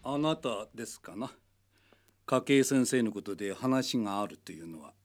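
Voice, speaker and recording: An actor playing an irritable, suspicious master of a household, blustering and muttering in an anime radio drama. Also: male voice, on the low side, animated, normal speed, loud music behind the voice, close to an everyday voice. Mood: surprised, formal.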